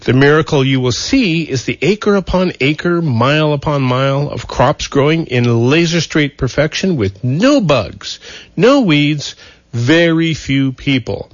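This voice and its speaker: Wry, raspy-free radio host, dry lo-fi. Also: male voice, fairly high pitch, lively intonation, no room sound. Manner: playful, wry storytelling with halting restarts. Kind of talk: folksy radio talk show hosting. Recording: dull lo-fi radio broadcast.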